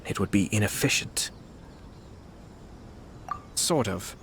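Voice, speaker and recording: An actor playing a composed, courteous young nobleman in a video game, shrewd and measured, speaking in formal, polished phrases. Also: lowish voice, vocal fry, fairly bright tone, slight background noise, exaggerated voice. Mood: calm, measured.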